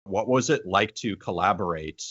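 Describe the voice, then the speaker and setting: A man's voice: sits low, moderate pace, fairly bright tone, no echo. A man acting as interviewer, speaking in the composed phrasing of prepared questions. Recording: dull, lo-fi audio.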